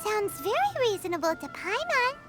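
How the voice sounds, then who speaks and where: A woman voicing a small, excitable, childlike fairy companion in a video game. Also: very high-pitched, moderate pace. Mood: curious. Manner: exclaiming, refers to self in third person. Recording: loud music behind the voice. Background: loud game music.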